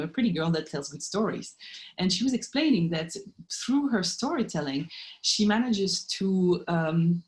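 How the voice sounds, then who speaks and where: A woman's voice: fairly low pitch, rough recording. A woman giving thoughtful, explanatory answers in an interview as an academic expert. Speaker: professor of art and literature history, interviewee.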